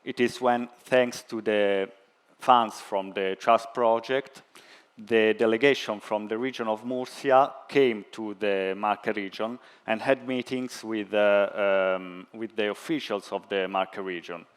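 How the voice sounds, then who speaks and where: A low-voiced man talks very slowly on a conference stage. His voice is clear and smooth, but he halts often and fills the gaps with fillers. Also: fairly even intonation. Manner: hesitant, many fillers. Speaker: researcher answering questions. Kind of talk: conference pitch and Q&A.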